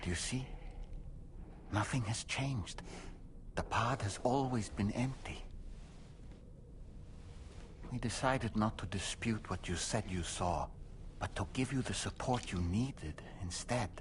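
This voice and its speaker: A composed therapist in a video game, delivering painful truth gently. His voice is low and steady, slow and careful, sometimes falling to a near whisper, with faint ambience in the background. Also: slight background noise. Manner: calm, measured and careful. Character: composed male therapist breaking hard news gently. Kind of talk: video game cutscene acting.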